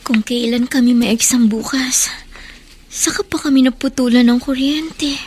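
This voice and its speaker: A woman's voice: sits high, moderate pace, darkish tone, slightly rough, faint music behind. A young woman acting an anxious student thinking aloud in a Filipino radio drama. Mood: worried grumbling.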